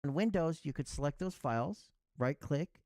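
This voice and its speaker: A man's voice: on the high side, moderate pace, a little mellow, no room sound. Dry, methodical male tutorial narrator. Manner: clear, instructional, methodical. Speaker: tutorial instructor. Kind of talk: step-by-step software tutorial narration.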